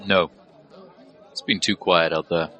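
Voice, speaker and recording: A low, bright male voice, an actor as a watchful crew leader in an audio drama. He is stern and protective, quick to admonish, with a faint murmur of people behind. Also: steady tone, a faint crowd. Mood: wary. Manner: admonishing, wary.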